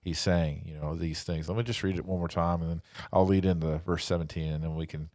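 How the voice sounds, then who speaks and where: A man's voice: deep voice, steady tone, fairly quick, darkish tone, no room sound, studio quality. A man hosting a podcast in a conversational devotional style, earnestly exhorting listeners and repeating phrases as he goes. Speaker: podcast host, church ministry speaker.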